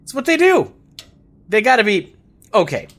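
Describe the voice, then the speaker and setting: An actor playing a chatty, theatrical old revolutionary with folksy charm in an audio drama. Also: male voice, high-pitched, wildly swooping pitch, normal speed, fairly bright tone, heavily acted. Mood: rousing, eager. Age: older. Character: jovial old male revolutionary, garrulous and scheming.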